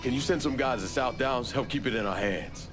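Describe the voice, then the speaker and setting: A man's voice: medium pitch, fairly quick, over music, close to an everyday voice. An actor playing a curt, tough male protagonist who talks in short, clipped lines. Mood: terse. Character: terse tough male protagonist, a war veteran.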